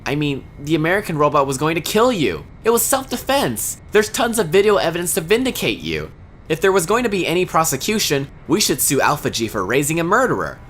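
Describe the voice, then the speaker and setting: Sarcastic woman with wild pitch swings. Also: fairly quick, a little hiss or hum. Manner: sarcastic, dramatic swings from deadpan to frantic shouting. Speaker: parody voice-over creator. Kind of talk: comedic parody dub narration. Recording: voice over loud background music.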